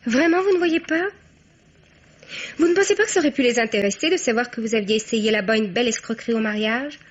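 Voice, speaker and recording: A woman's voice: fairly high pitch, fast, close to an everyday voice. An actress playing a cheeky young woman blackmailer, chatty, sly and mock-innocent, in a French radio crime drama. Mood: taunting, insinuating. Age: young adult. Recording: dull, band-limited radio sound.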